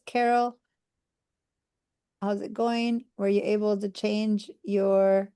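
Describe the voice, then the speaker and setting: A woman's voice: mid-range voice, creaky, mellow. A woman from Argentina teaching an online computer class, patiently talking students through each step and checking in with them. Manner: patient, step-by-step explaining with fillers. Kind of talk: live online class demonstration.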